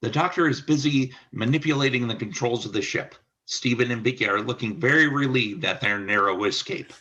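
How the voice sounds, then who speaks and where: A man's voice: medium pitch, a little fast, pushed and bright, muffled recording. A man reading scripted stage-direction narration for a radio play.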